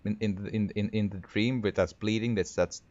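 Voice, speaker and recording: A man's voice: fairly low pitch, steady tone, normal speed, darkish tone, dull audio. A man with a Finnish accent narrating his horror game playthrough, thinking aloud with frequent stammering restarts. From Finland. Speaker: gaming video creator. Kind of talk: horror game let's play commentary.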